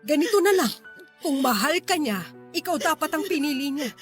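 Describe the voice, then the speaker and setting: A woman's voice: sits high, animated, moderate pace. A woman playing a stern but caring Filipino mother in an emotional, emphatic radio drama style. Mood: firm, exasperated. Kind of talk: Tagalog radio drama acting. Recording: music under the voice.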